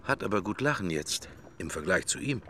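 A very low-pitched man muses inwardly in a radio drama. His lines come in short, wry, pensive fragments, like private thoughts at a funeral. There is faint noise behind him. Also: lively intonation, a little fast, bright, forceful tone. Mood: detached musing. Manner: clipped, fragmentary musing, wry. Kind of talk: radio drama interior monologue.

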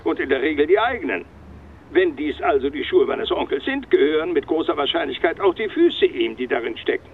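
Pedantischer, hoher Kommandant, dumpf aufgenommen. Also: male voice, a little high, sing-song, fairly quick, breathy edge. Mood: explanatory. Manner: articulate, formal, pedantic. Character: stubborn, erudite, easily irritated French police commandant. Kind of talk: German radio crime drama acting. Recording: dull, band-limited phone-call sound, faint music.

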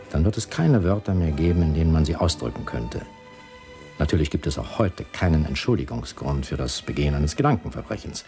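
Radio play casting: a clever party language scholar, bass register with swooping emphasis, fervent, exacting, lecturing. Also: male voice, creaky, darkish tone, full character voice. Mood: grave, fervent. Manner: lecturing, zealous, precise, correcting. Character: fervent male party linguist, clever and pedantic. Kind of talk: German radio drama acting.

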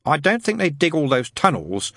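A man's voice: medium pitch, huge pitch swings, brisk, fairly bright tone, husky, dry recording, clean studio sound, pitched above the performer's own voice, heavily acted. A man voicing a literal-minded, bookish boy in a storybook, reasonable, dogged and pedantic.

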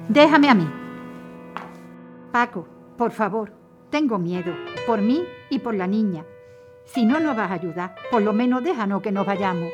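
A woman's voice: medium pitch, lively intonation, measured pace, pauses often, music in the background, natural voice. An actress playing a frightened mother who hurries her daughter to flee, with urgent speech. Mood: pleading. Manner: urgent, pleading.

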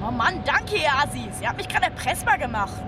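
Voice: sits high, very animated, fairly quick, distant mic. An actor playing a cocky, streetwise young hustler in a radio drama, talking in boastful slang. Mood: annoyed, mocking. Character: cocky streetwise youth Boris, gloating small-time hustler. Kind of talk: naturalistic radio drama dialogue. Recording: inside a car, loud music and crowd noise.

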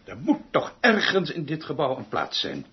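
An actor playing an imperious, exasperated, eccentric orchestra conductor in a radio play, with a pompous, theatrical manner that swings from muttering to shouting. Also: male voice, on the high side, huge pitch swings, moderate pace. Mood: angry, urgent. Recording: dull recording with noticeable hiss.

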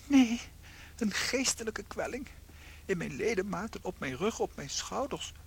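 A woman playing a tiny shrunken unfaithful husband in a radio play, theatrical, anxious and guilt-ridden, with a high husky voice. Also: very animated, a little slow, full character voice. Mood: remorseful.

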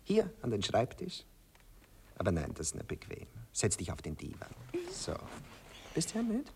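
An actor playing a tender, vain, dreamy poet in a radio drama, speaking in an affected, effusive, seductive manner. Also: male voice, fairly low pitch, huge pitch swings, normal speed, creaky, background noise, heavily acted. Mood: cooing, coaxing. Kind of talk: 1960s German radio drama acting.

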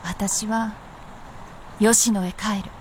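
A woman's voice: medium pitch, steady tone, extremely slow, a little mellow, slight background noise. A woman playing an aloof, proud immortal princess in a Japanese period radio drama, with archaic, composed, lordly speech. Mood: detached.

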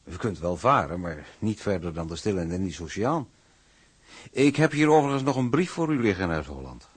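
An actor playing a dry, knowing, brisk port official in a radio drama. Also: male voice, deep voice, big pitch swings, husky, heavily acted. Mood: matter-of-fact. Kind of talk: Dutch radio drama acting.

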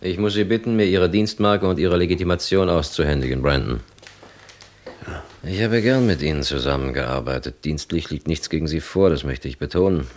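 An actor playing a formal, evasive middle-aged police chief in a radio drama, measured and officious. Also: male voice, a bass voice. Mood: officious, firm. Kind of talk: German crime radio drama acting.

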